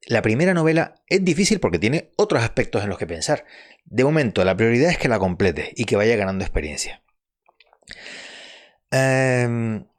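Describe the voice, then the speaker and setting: A man, a novelist giving casual advice on writing, talking in a rambling way with restarts and turning emphatic on key points. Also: medium pitch. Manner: conversational, rambling with restarts and fillers; emphatic and earnest when stressing a point.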